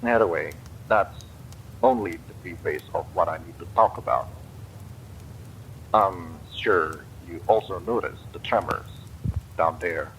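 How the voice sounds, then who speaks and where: A man voicing a calm, grave administrator of a space pod, speaking in a measured, matter-of-fact way. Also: sits low, a little hiss or hum, low-quality recording.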